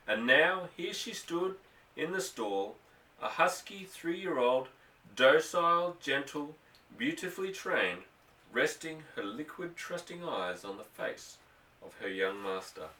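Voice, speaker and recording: A man's voice: medium pitch, a bit bright, slight background noise. A man reading a novel aloud as scripted narration, with dramatic, emphatic phrasing, recorded in a roomy space away from the microphone. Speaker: audiobook reader. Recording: roomy echo, distant microphone.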